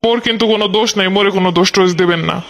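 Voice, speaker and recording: A put-upon village man from a comic cartoon, acted by a man, with a high pitch and very quick delivery. He complains and argues in a Barisal accent from Bangladesh. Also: fairly even intonation, a bit bright. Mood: exasperated, grumbling. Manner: complaining, argumentative.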